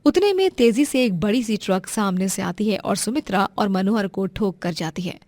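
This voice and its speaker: A woman's voice: mid-range voice, lively intonation, normal speed, a little mellow, dry recording. A woman narrating a scripted moral story as its storyteller.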